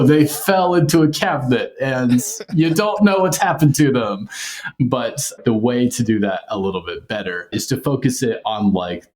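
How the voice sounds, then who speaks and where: A man's voice: lowish voice, darkish tone. A man speaking as a podcast creator in a casual interview, explaining things thoughtfully and good-humoredly. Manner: chuckles often while explaining thoughtfully.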